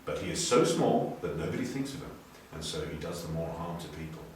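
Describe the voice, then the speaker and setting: A man reading a story dramatically, moving between hushed and emphatic delivery. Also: sits low, expressive, a little fast. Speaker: story reader. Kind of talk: expressive storybook narration. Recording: very echoey room, distant, faint noise.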